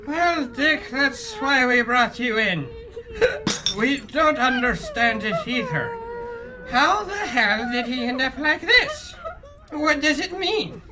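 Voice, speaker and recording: A woman playing a slow, high-voiced boozy cop. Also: very animated, background noise, exaggerated voice. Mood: distraught. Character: hard-drinking, dramatic adult police officer. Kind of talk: parody pulp audio drama acting.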